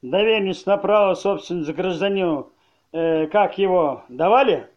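Actor as high-pitched, brusque thuggish enforcer. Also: male voice, normal speed, dull audio, lightly acted. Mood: brusque, mocking. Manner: blunt, slangy, unceremonious. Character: brusque, cynical thuggish male debt enforcer. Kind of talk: amateur audio play acting.

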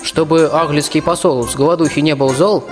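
Young man playing a folksy archer, slightly high. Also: steady tone, fairly quick, music in the background, lightly acted. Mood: earnest. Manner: folksy, musing recitation. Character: plain, good-natured young archer, a folk simpleton hero. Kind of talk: rhymed fairy-tale radio play.

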